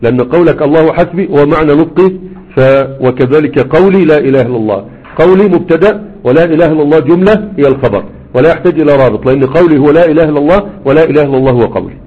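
A man's voice: fairly high pitch, lively intonation, racing, slightly rough. A man with an Egyptian Arabic accent giving a grammar lesson, explaining over and over and quizzing his students with rhetorical questions. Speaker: grammar teacher. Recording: tinny phone-like audio.

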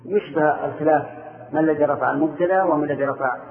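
Guy teaching grammar class, asks students stuff, slightly high voice, sounds like a phone line in an echoey room. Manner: slow, quizzing, confirming answers with short replies.

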